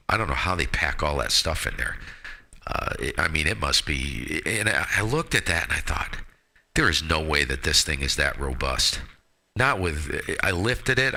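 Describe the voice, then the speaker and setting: Deep, bright-voiced man, blunt and emphatic. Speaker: amateur radio operator hosting a live stream. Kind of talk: casual opinionated chat on a ham radio net.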